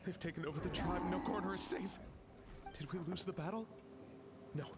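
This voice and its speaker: High-strung desperate young warrior. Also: male voice, brisk, very noisy. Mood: despairing. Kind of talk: anime game voice acting.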